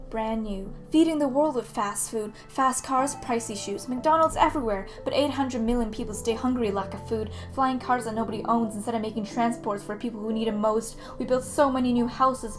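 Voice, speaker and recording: A young woman in her late teens performs spoken word in a fairly high voice. She delivers her lines earnestly, with rhythmic emphasis, while music plays clearly behind her. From Canada. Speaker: student slam poet. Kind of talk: performed slam poetry recitation. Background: background music.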